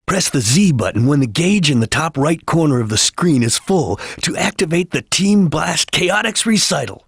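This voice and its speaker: A man voicing a serious, disciplined chameleon ninja in a video game, speaking in a husky, clipped and measured way as he gives instructions. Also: on the high side, animated, fairly bright tone, dry recording, studio quality, exaggerated voice. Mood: instructive, composed. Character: serious, disciplined male chameleon ninja detective, calm and watchful. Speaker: video game character giving hints.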